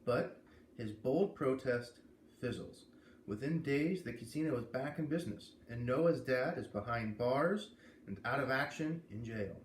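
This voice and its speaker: A man doing an expressive storybook read-aloud, pausing to explain and comment as he goes. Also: mid-range voice. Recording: echoey room with clear background noise.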